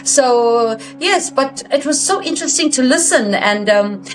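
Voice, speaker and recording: A woman hosting a talk show, giving earnest advice to the audience in halting, measured phrases. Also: high-pitched, animated, poor audio.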